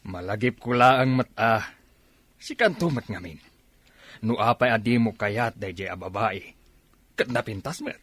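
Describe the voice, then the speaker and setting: A man's voice: fairly low pitch, animated, measured pace, pauses often, fairly bright tone, dry recording. A man with an Ilocano accent playing an older, insistent father longing for his grandchild in a radio drama, emphatic and pleading. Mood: pensive, questioning.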